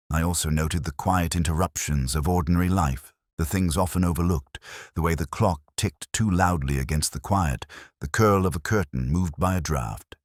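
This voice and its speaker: Very deep-voiced, reflective detective played by a man. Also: no room sound, studio quality, exaggerated voice. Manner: measured, deliberate, formal literary phrasing. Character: reflective, meticulous male detective narrating his case. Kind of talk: scripted first-person mystery narration.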